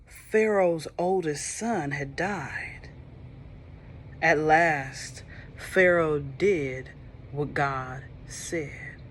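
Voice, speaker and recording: A woman reading a children's Bible storybook aloud, slow and expressive, with soft whispered moments and playful asides to young listeners. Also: low-pitched, slight background noise. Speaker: storybook reader.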